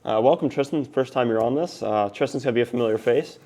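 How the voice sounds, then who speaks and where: A man's voice: mid-range voice, quick pace, mellow. A teenage boy hosting a school video show, upbeat and playful, hyping up his guests. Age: teens. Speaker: student host of a school show. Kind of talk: casual school news chat.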